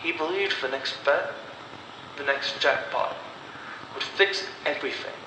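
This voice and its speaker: A man narrating a scripted horror story in a dramatic, deliberate way, with pauses between phrases. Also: a little high. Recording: echoey, long reverb, clear background noise.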